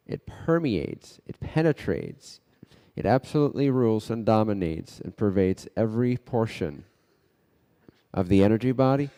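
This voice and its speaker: Meditation teacher guy, really slow with lots of pauses, big echoey reverb on his voice. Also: fairly low pitch, mellow. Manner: unhurried, pausing between short phrases. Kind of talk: guided meditation. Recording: long reverberant echo.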